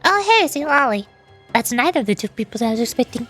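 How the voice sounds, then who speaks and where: Female game streamer, high-pitched, playful and reactive, light music bed behind her. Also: sing-song, fast talker, fairly bright tone. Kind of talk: game livestream, voicing characters and reacting.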